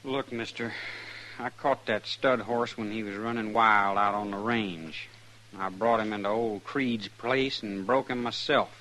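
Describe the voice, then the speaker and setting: A man's voice: fairly low pitch, fairly even intonation, a little slow, pushed and bright, poor audio. An American man playing a stubborn, aggrieved ranch hand in a radio western, with defensive, folksy speech. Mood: defensive, indignant.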